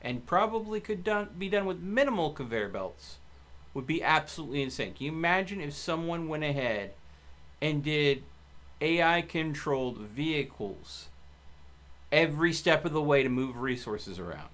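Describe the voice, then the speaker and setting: A man's voice: on the high side, lively intonation, moderate pace, a bit bright. A man streaming a video game and bantering casually with a co-player in a wordy, argumentative, exasperated way.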